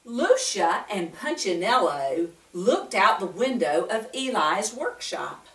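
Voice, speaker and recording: An older woman leads story time for young children, addressing them directly and often asking them questions. Her delivery is slow, with roomy pauses between phrases, and her pitch swoops up and down expressively. She sounds some distance from the microphone, with the echo of the room around her. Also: very animated. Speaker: host of a children's Bible story show.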